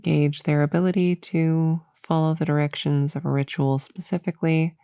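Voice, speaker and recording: A woman playing a flustered, earnest young novice witch in an ASMR audio roleplay, soft-spoken and halting, slipping into whispers. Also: low voice, flat delivery, clear, resonant tone, no room sound, clean studio sound. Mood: sheepish.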